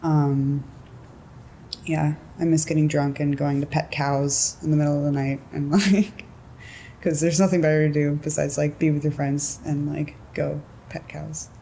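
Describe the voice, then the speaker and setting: A woman in her twenties from California, chatting casually in an interview, relaxed and giggly with lots of filler words and a low voice. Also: normal speed, creaky, a little hiss or hum, rough recording. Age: 20s.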